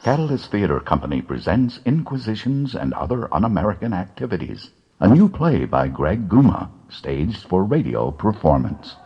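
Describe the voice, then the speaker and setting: A man with a gravelly, husky voice reading a dramatic scripted introduction as announcer. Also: lowish voice, very animated, moderate pace. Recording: dull, muffled recording.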